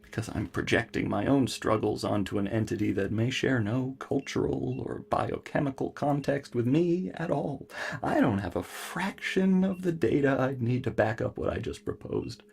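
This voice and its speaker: An actor playing an earnest, melancholy scientist who speaks haltingly and formally in a science fiction audio drama. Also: male voice, mid-range voice, gravelly, a little mellow, husky. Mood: earnest, confessional. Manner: halting, deliberate, formal wording. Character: male scientist, earnest, melancholy, cerebral, given to theorizing.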